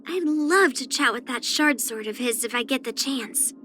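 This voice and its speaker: A woman's voice: sits high, expressive, moderate pace, fairly bright tone, faint music behind. A woman voicing a spirited, proud young swordswoman in an anime-style video game, speaking in an earnest, blunt, slightly pouty way. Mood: matter-of-fact, confident.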